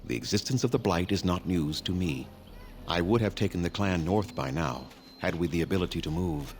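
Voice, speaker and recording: An actor playing a weary, haughty elven clan leader in a fantasy video game, speaking formally and gravely in a measured way. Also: male voice, low-pitched, a little hiss or hum, natural voice. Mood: wry, grave.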